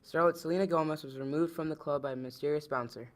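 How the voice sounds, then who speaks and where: A person reading scripted news lines and quoted statements at a brisk clip. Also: medium pitch, steady tone.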